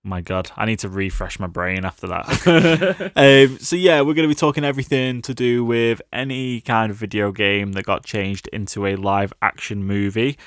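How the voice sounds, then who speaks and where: A British man with a slightly low voice chats about films as a podcast co-host. His delivery is informal, with a wry, jokey streak. The recording is very dry and free of room sound. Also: normal speed, few pauses, smooth, dry recording. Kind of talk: casual chat about films.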